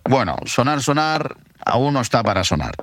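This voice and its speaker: A man's voice: mid-range voice, big pitch swings, moderate pace, fairly bright tone, a little hiss or hum. A man playing a grumbling but game young punk singer in an audio drama, speaking in casual slang.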